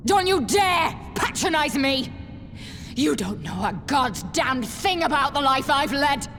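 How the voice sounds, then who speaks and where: A woman's voice: high voice, lively intonation, measured pace, a bit bright, soft background music, full character voice. A woman voicing a bitter, vengeful young woman soldier in a video game cutscene, intense and anguished. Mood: furious, anguished.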